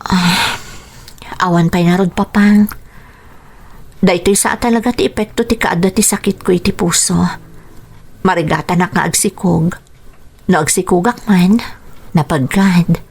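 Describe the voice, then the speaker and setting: A woman's voice: fairly low pitch, steady tone, unhurried, long pauses, breathy edge. A woman with a Filipino accent acting a patient, dutiful young wife and daughter in a radio drama, earnest and soothing. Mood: sorrowful.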